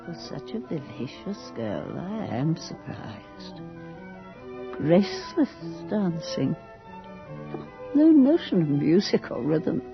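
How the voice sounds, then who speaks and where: Proud aging lady of the manor, theatrical, unhurried delivery, muffled vintage radio sound with prominent music. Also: lowish voice, very animated, creaky, heavily acted. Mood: agitated, critical. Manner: grand, theatrical, formal diction. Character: proud, imperious aging noblewoman hosting her last ball. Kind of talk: old-time radio drama acting. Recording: dull old radio recording under music.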